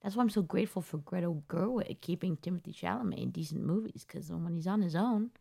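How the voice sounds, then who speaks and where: Woman, young adult, podcast co-host, low gravelly voice, rambling, self-deprecating. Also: quick pace, warm tone. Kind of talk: casual chat.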